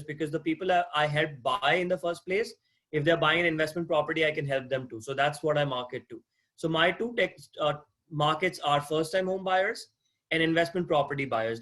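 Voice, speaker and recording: A man's voice: fairly high pitch, a bit bright. Man with an accent giving an online lecture. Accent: non-native English accent. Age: 30s. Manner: conversational, asks the class questions, frequent restarts. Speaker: guest lecturer, mortgage agent. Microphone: video call.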